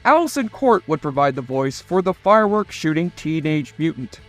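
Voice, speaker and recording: A man's voice: a little high, big pitch swings, normal speed, a bit bright. A man reading scripted narration for a retrospective video documentary. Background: faint music.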